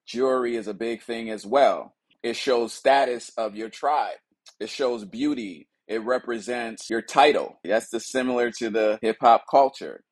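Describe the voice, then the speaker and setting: Low-voiced man, reflective artist talk. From Liberia, West Africa, raised in the United States. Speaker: contemporary mixed-media artist. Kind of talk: explaining own artwork, unscripted artist talk.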